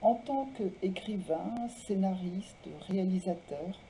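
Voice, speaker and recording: A woman presenting and reading literary texts aloud in a measured way, phrase by phrase. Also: mid-range voice, dark tone, a little hiss or hum, low-quality recording. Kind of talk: literary talk, biographical introductions and read-aloud texts.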